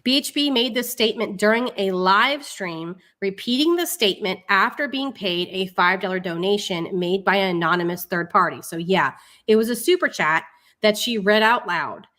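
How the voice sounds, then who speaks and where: Female livestream host, medium pitch, informal and wry, able to switch between formal read-aloud and mocking casual commentary. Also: normal speed, a bit bright. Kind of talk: live online commentary, reading a legal document aloud with casual asides.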